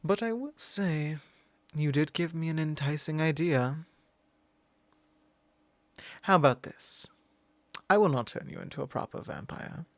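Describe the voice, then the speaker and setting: A man's voice: a little high, lively intonation, a little slow, long pauses, dry recording. A man voicing an arrogant, possessive immortal vampire in an ASMR roleplay, talking down in a drawl and sometimes whispering close to the mic. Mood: mocking.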